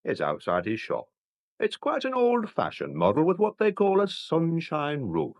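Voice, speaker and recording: A self-satisfied, deferential English sergeant in a radio drama. He is long-winded and pedantic, with hugely animated intonation and a slightly muffled sound. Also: fairly high pitch, normal speed.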